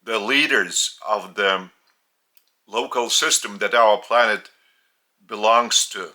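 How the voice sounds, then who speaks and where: A man with a Lithuanian accent giving a spiritual teaching in a deliberate, halting way, with long pauses between phrases. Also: on the low side, bright, forceful tone.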